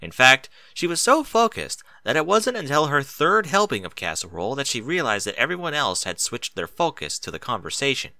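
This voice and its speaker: A man's voice: mid-range voice, very animated, a little fast, few pauses, pushed and bright, no echo, clean studio sound. A man reading scripted story narration as an audiobook narrator.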